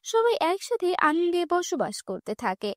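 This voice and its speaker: A woman tells a children's cartoon story in a scripted, even storytelling manner. Her voice is very high, and the recording is dry and close, with no room sound. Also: a little slow, no echo. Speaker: storyteller narrator.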